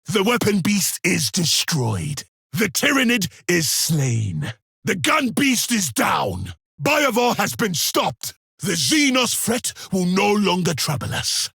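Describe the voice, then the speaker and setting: An actor playing a grim, duty-bound space-marine soldier in a video game, giving solemn, clipped battle declarations in a harsh, raspy voice. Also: male voice, high voice, very animated, slow pace, pushed and bright, no room sound, studio quality, heavily acted. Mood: commanding.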